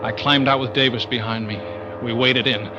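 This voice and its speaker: An actor playing a tough, terse pilot who narrates a crime thriller in old-time radio suspense style. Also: male voice, mid-range voice, steady tone, moderate pace, lightly acted. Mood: grim. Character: hard-boiled male pilot narrating his own crime thriller. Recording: dull vintage radio recording under loud music.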